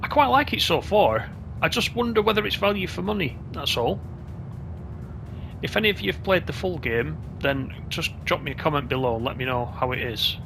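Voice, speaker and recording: A man's voice: a little high, expressive, moderate pace, creaky. Man chatting through gameplay over music. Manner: chatty, thinking aloud. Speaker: gaming video host. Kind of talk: casual gameplay commentary.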